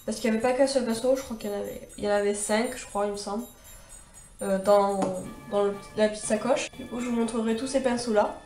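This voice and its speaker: A woman's voice: a little high, a little mellow, roomy sound. A young woman with a cold and a stuffy nose, chatting her way through a makeup tutorial with many fillers and restarts. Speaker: beauty vlogger.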